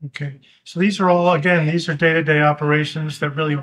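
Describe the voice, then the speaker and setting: Male board commissioner, slightly high voice, polite and firm. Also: flat delivery, fast, fairly bright tone. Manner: polite but firm, cutting off off-topic comment.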